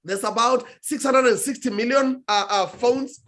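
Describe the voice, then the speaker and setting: A man's voice: extremely high pitch, normal speed, fairly bright tone. A man, a guest speaker on a talk show, giving emphatic advice with frequent restarts and searching for words. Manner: emphatic, with many restarts and "what do you call it". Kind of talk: motivational talk-show answer.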